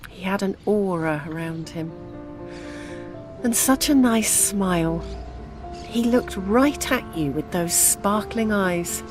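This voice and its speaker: A woman's voice: medium pitch, slow pace, music in the background, close to an everyday voice. An actress with a British accent playing a grieving older widow and mother, wry and devoted, in an intimate audio drama. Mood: fond, wistful.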